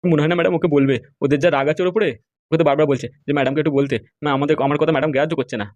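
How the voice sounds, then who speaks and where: Performer as fawning gossipy sidekick, very fast talker, dry close sound, eager, deferential, cartoon comedy. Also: medium pitch, steady tone, darkish tone, no room sound, dull audio, lightly acted. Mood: tattling. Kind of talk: amateur cartoon comedy dialogue.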